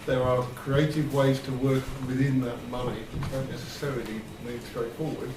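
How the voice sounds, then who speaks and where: A man speaking as a councillor in a meeting, measured and deliberating as he puts his view. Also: medium pitch, flat delivery. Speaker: parish councillor. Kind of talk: meeting discussion. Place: indoor parish council meeting room. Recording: distant mic, echoey room, clear noise.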